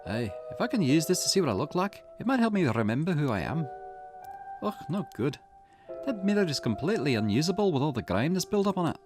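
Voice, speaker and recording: A man's voice: fairly high pitch, sing-song, fast, over music. A man voicing a confused, dramatic Scottish woman who has lost her memory, in a video game. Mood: puzzled, searching. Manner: bewildered, questioning, dramatic. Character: confused amnesiac woman waking in pain, visual novel protagonist.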